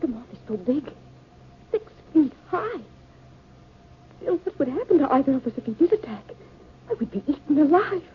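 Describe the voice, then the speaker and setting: A very high, swooping voice of a frightened young wife in an old radio play. She is breathless and trembling, with melodramatic delivery. The recording is muffled and vintage. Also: sing-song, normal speed, pauses often. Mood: fearful. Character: terrified young wife trapped with giant insects. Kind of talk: 1950s radio drama acting.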